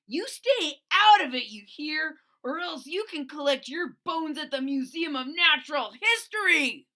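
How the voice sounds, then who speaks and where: A woman's voice: high voice, expressive, unhurried, sharp tone, voice pushed up, heavily acted. A woman voicing a bossy male dinosaur gang leader in a read-aloud storybook, demanding and menacing. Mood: threatening.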